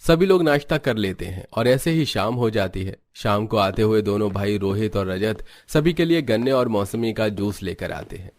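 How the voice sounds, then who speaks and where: Low-voiced man narrating a story. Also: moderate pace, hardly any pauses, a little mellow, dry recording.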